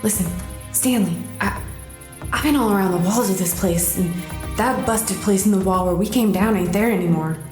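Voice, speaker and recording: A woman's voice: mid-range voice, moderate pace, darkish tone, faint music behind, natural voice. A woman playing a hard-boiled, practical small-time crook in a noir audio drama, brusque, slangy and impatient. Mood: uneasy. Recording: echoey, roomy sound.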